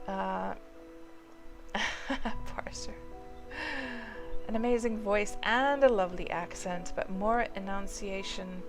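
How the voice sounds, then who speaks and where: Woman streamer, mid-pitched, rambling and chatty, music behind. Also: steady tone, slow, long pauses. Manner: rambling, chatty, many fillers, answers chat viewers. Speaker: game livestreamer. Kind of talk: casual live-stream chat while playing. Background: music playing under the voice.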